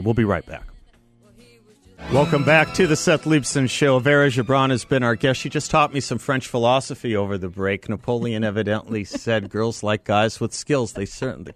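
A middle-aged man with an American accent hosting a conversational talk radio interview in a studio. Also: on the low side. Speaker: radio talk show host. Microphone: close studio microphone.

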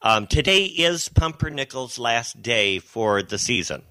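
A man's voice: medium pitch, animated, unhurried, bright, forceful tone. A man hosting a local radio talk show, chatting casually and hesitating often with "uh". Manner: easygoing, hesitant with many "uh"s.

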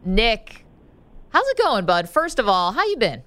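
A woman's voice: fairly high pitch, sing-song, a little slow, fairly bright tone, no echo. A woman hosting a sports radio show, chatting casually with callers.

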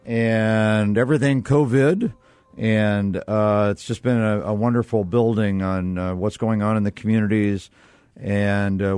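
Man, American accent, deep-ish voice, radio show host, halting conversational interview style, dry sound. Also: a little mellow, no room sound.

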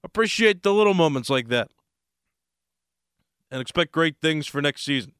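American sports radio guy, voice kinda high, slightly hoarse, casual and unhurried, really dry studio sound. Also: lively intonation, fairly bright tone, dry recording. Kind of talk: conversational sports talk radio monologue.

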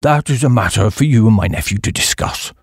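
A man's voice: fairly low pitch, expressive, fairly quick, breathy edge, dry recording, clean studio sound, voice pushed down. A man voicing a haughty, easily affronted old English duke in an audiobook, stiff and formal. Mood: coldly polite. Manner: stiff, formal, coldly polite.

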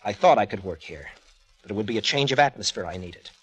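Frustrated painter in old radio drama, husky, quick, intense. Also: male voice, lowish voice, expressive. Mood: earnest, strained. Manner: intense, urgent. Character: frustrated, earnest, stubborn male struggling painter. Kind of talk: 1950s radio drama acting.

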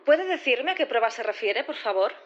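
A formal female prosecutor played by an actress puts her questions in a courtroom audio drama. She is courteous, composed and methodical, with a fairly high voice and a slightly dull recording. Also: animated, brisk, lightly acted.

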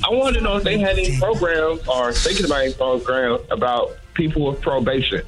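A man's voice: a little high, lively intonation, brisk, poor audio. A man asking questions on a radio show in a casual, earnest and colloquial way. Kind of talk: casual, conversational. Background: music.

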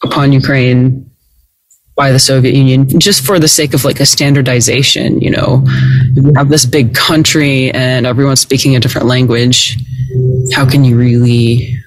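A woman's voice: a bass voice, steady tone, moderate pace, no echo, poor audio. Woman, Russian-speaking upbringing, reflective hedging talk, dry close sound. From United States. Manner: thoughtful, hedging with "like" and "kind of". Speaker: podcast guest. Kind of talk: conversational interview. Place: Los Angeles.

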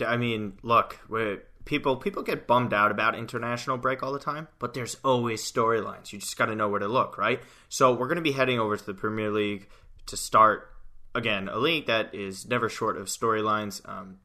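A young man from the United States hosts a casual soccer podcast. His voice sits a little low but rings bright and sharp, and he talks with lively, conversational energy and plenty of fillers. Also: brisk. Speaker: podcast producer and fill-in host.

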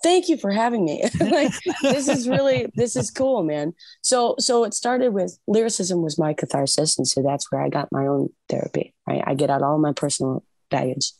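A singer chatting as an interview guest, animated and laughing often, with many restarts. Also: female voice, lowish voice, moderate pace, hardly any pauses, no room sound. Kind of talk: casual interview chat.

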